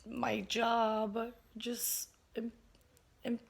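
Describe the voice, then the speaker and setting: A woman with a hoarse, fairly high voice speaks slowly and earnestly to her camera at home. Her delivery stays flat and even, as she keeps her naturally loud voice held back in a candid personal vlog. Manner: earnest, slow and open, toning down her natural loudness. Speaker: vlogger. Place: at home, indoors.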